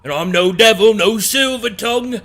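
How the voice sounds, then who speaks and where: A man's voice: high-pitched, normal speed, a bit bright. A man voicing a male demon who brews poisons, talking in a rambling, folksy, old-fashioned way. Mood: questioning. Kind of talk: tabletop roleplay in character.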